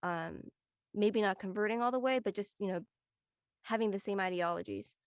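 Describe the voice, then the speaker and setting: A woman's voice: medium pitch, normal speed, clear, resonant tone, no echo, clean studio sound. A woman giving her opinions in a casual discussion, explaining in an emphatic, conversational way. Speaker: panelist.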